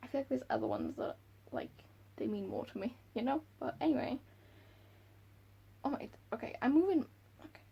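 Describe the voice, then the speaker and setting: Brief: Welsh woman in her twenties, book vlogger, husky and gravelly, chatty with frequent giggles. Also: sits high, expressive, normal speed, long pauses, lo-fi sound. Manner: giggly, chatty, self-deprecating. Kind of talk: casual chat to camera. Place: room at home by a window.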